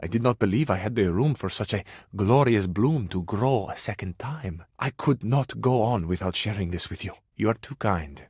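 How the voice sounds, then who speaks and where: A man's voice: lowish voice, lively intonation, moderate pace, hardly any pauses, darkish tone, husky, dry recording, clean studio sound. A man voicing a passionate, suave Italian cabinet for a video game, with florid, theatrical delivery and an Italian accent. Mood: tender, loving. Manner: florid, dramatic, lingering on words. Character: passionate, melodramatic, romantic Italian cabinet, male, suave dreamer.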